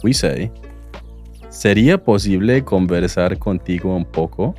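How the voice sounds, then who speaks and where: A man with a London accent, hosting a Spanish lesson and speaking clearly, at a teaching pace. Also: low voice, darkish tone, soft background music. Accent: London English. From England. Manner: friendly, clear, teaching pace with repeat-after-me pauses. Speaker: language course host. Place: outdoors in a public garden.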